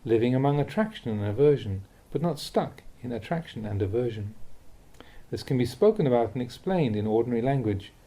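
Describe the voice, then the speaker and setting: A man reading a Buddhist teaching aloud as instructive narration, with measured, deliberate phrasing. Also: medium pitch, animated, dark tone. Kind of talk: read-aloud dharma talk, instructive narration.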